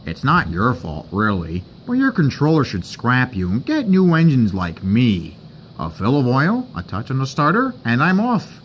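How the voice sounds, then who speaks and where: A man's voice: medium pitch, wildly swooping pitch, moderate pace, a little hiss or hum. A man voicing a snooty, boastful diesel engine that talks with smug condescension, in a homemade story.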